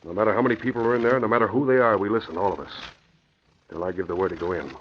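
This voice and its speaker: An actor playing a stern, authoritative police commissioner giving brisk orders in an old radio drama. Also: male voice, lowish voice, natural voice. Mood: firm. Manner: brisk, commanding. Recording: muffled, noisy old radio recording.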